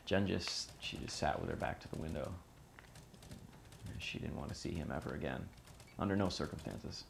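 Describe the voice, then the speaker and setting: A man playing a troubled son in an audio drama, giving testimony in a halting, confiding way. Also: rumbling low, creaky, full character voice. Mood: pained, subdued. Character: anguished son recalling his father's clash with his girlfriend. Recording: faint background noise.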